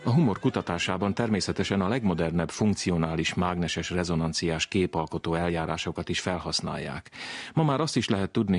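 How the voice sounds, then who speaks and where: A man with a very low, slightly husky voice narrates a radio science feature in a measured, scripted way. The sound is close and dry, with no room around it. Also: no echo. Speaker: science program presenter.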